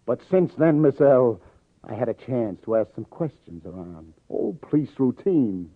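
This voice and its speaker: Hard-boiled New detective on tinny old radio. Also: male voice, mid-range voice, big pitch swings, unhurried, no echo. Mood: sardonic, accusing. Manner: sardonic, clipped tough-guy talk. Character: hard-boiled, wry New York male police detective. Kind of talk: 1950s radio crime drama acting. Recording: tinny old radio broadcast, faint music.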